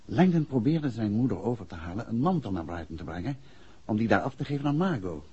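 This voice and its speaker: An actor playing a composed, inquisitive gentleman detective in a Dutch radio play from the 1960s, with a Netherlands accent and measured, courteous speech. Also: lowish voice, big pitch swings, slightly rough, heavily acted. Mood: pensive. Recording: dull, muffled 1960s radio recording.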